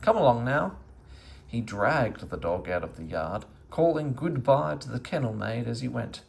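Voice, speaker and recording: A man narrating a children's storybook aloud in an expressive reading style. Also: mid-range voice, normal speed, creaky. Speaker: storybook reader.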